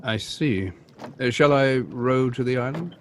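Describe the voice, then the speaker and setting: An actor playing a suave, thoughtful gentleman detective in an old-time radio drama, speaking in a measured, deliberate way. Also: medium pitch, natural voice. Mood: brooding deduction. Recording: live stream with clear background noise.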